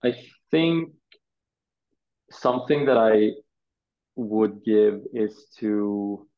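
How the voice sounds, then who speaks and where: A Swedish man in his thirties, a designer answering interview questions in a thoughtful, halting way, often repeating words. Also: fairly low pitch, phone-quality sound. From Sweden.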